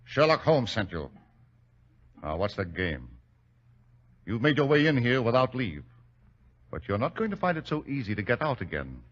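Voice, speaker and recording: Menacing villainous baron, old radio. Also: male voice, medium pitch, sing-song, normal speed, long pauses. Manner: imperious, interrogating. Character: menacing, sneering criminal baron and art collector. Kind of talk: 1940s radio acting. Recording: dull lo-fi old radio recording.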